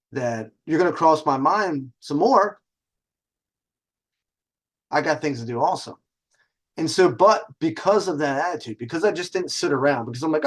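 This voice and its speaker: Animated male storyteller with a cocky edge. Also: high voice. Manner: animated, cocky, conversational, swearing. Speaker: host telling personal stories and dating advice. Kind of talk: casual storytelling with dating advice.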